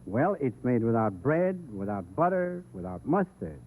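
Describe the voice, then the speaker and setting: A man's voice: medium pitch, very animated, normal speed, darkish tone. A man acting a pompous, mock-grand hotel man in 1930s radio comedy, on an old tinny broadcast. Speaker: comic guest on a radio variety show.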